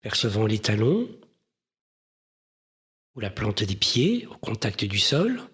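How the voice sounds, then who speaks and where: A man leading a guided mindfulness meditation in a gentle, measured manner with pauses between phrases. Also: lowish voice, wildly swooping pitch, breathy edge.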